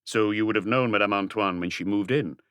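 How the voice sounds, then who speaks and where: A man voicing a calm, authoritative chief inspector in an audiobook, measured, terse and businesslike. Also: on the low side, clear, resonant tone, no echo, studio quality, close to an everyday voice. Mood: calm, inquiring. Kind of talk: audiobook character dialogue.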